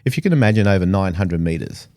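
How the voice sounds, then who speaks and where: Middle-aged man from Australia, very low voice, mellow tone, halting with lots of ums. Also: lively intonation. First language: Italian. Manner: hesitant, many fillers and restarts. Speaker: engineer guest. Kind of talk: casual conversational interview.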